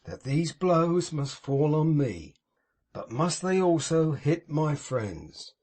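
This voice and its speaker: A man voicing a pompous, sanctimonious middle-aged gentleman in an audiobook, speaking in a grand, moralizing manner with a husky tone. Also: a little high, big pitch swings, takes it slowly, full character voice. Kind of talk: audiobook character reading.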